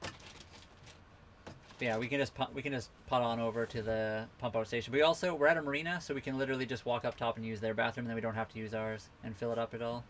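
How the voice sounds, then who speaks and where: A man from western Canada, hosting a casual live Q&A from his sailboat, reading out viewer comments and answering them in a relaxed, rambling way. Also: mid-range voice, quick pace, a little hiss or hum. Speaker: livestream host answering viewer questions. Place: on a sailboat at a marina.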